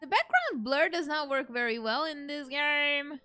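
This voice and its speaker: A woman's voice: high-pitched, lively intonation, unhurried, pushed and bright. A woman giving casual, playful reactions as she plays a video game, giggly and given to exclaiming. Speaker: let's play streamer. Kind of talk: casual gameplay reaction commentary. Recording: game music behind the voice. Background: video game music.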